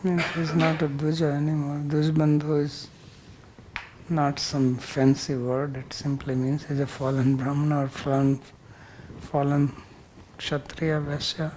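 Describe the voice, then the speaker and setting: Guy teaching scripture, kinda flat mellow voice, blunt, explains sanskrit words, bit of noise behind. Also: fairly high pitch, unhurried, poor audio. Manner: blunt, corrective; explains Sanskrit terms word by word. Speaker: religious teacher leading a scripture class. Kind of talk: verse-by-verse scripture teaching with questions and answers.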